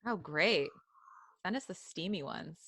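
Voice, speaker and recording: A woman with a bright, forceful tone, co-hosting a live podcast. She chats casually, laughs a lot and makes bawdy jokes. She grew up in Detroit. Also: lowish voice, expressive, measured pace. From Detroit, USA. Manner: giggly, bawdy banter. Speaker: podcast co-host.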